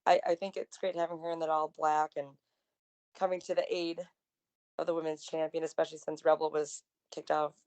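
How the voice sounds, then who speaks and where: A woman with a slightly raspy voice, co-hosting a wrestling podcast in enthusiastic, chatty conversation. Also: low voice, little pitch movement, brisk, darkish tone. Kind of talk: casual wrestling recap chat.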